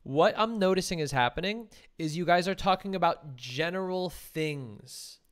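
Smooth-voiced male conversation coach. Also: high-pitched, lively intonation, normal speed. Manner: instructive, gives directions and feedback. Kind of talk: guiding a timed practice chat.

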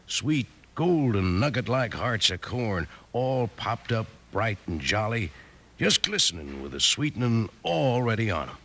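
A man's voice: lowish voice, very animated, unhurried, pushed and bright, heavily acted. An American man playing a jolly, folksy cowboy selling goods in an old radio advertisement, exuberant in manner. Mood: jolly, enthusiastic. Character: jolly, folksy male cowboy cereal pitchman.

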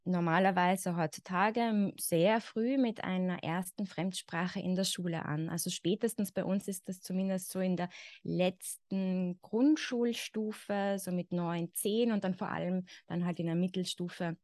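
A woman's voice: fairly low pitch, steady tone, a little slow, a little mellow, dry recording, clean studio sound. A woman in her thirties with an Austrian German accent, a guest expert talking reflectively in a podcast conversation and often saying "genau" and "ja".

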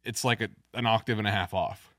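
Deep-voiced man talking guitar gear. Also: steady tone, brisk, a bit bright, no room sound. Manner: knowledgeable, technical. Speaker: podcast guest, guitarist. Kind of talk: casual chat about music gear. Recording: dry, music playing behind.

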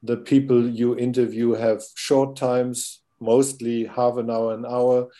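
Slow, halting German-accented older man. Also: medium pitch, level tone, warm tone. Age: 60s. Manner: halting, searching for words, many fillers. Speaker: podcast guest. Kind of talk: interview conversation.

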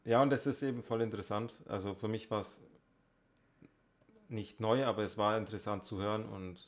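A young man with a somewhat low voice co-hosts a podcast. He chats casually and in a laid-back way, often keeping his remarks short. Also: steady tone, fairly quick. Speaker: podcast co-host. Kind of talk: casual chat.

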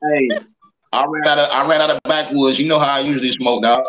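A man's voice: mid-range voice, fairly even intonation, a little fast, muffled recording. A man, bantering in a laid-back, boastful way as a guest in a casual chat. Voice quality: raspy. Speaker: guest, singer. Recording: roomy with background noise.

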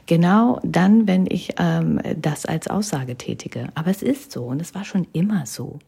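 A woman's voice: fairly low pitch, lively intonation, normal speed, vocal fry, mellow, husky. A woman in her thirties telling personal stories in a casual chat, often tagging sentences with a questioning "ne?" and dropping into whispers. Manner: chatty, keeps adding "ne?", drops to whispers. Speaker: vlogger.

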